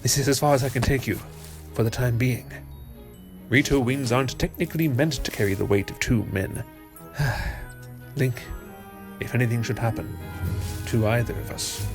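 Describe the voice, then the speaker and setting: A man's voice: mid-range voice, normal speed, long pauses, lightly acted. A man playing an earnest, courteous winged birdman in a fantasy audio drama, with a formal way of speaking. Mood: solemn, fond. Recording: music under the voice.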